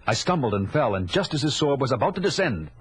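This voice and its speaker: A man's voice: mid-range voice, very animated, fast, natural voice. An actor playing a vengeful, battle-hardened barbarian who tells his own tale in solemn, declamatory audio drama style. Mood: grave, urgent. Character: vengeful, battle-hardened male barbarian warrior, grim and proud. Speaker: narrator and lead actor. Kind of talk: dramatic first-person audio drama narration. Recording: loud music behind the voice.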